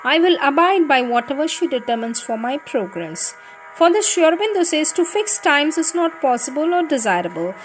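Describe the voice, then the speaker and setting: A woman reading spiritual letters aloud in a devotional style, with deliberate delivery. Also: high voice, very animated. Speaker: reader of spiritual teachings. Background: music in the background.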